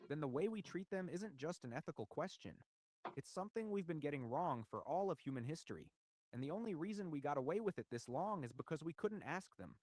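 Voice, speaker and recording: Male documentary narrator, slightly high voice, animated intonation, measured rhetorical delivery of a script. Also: no echo. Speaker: video essay narrator.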